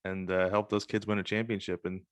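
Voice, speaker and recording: Male podcast host, American, low voice, dry close sound, conversational and informal. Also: little pitch movement, a little fast, smooth, dry recording. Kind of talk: casual interview and sign-off chat.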